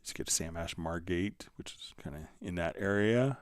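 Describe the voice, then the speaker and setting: Low, smooth-voiced man. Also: normal speed, no room sound. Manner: informal, thinking aloud while demonstrating. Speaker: livestream host, software instructor. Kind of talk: casual live software tutorial.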